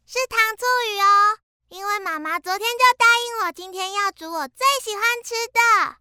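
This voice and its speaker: A woman's voice: very high-pitched, moderate pace, sharp tone, exaggerated voice. A woman voicing a sweet, eager kindergarten-age girl in a scripted audio drama. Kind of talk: scripted radio drama dialogue.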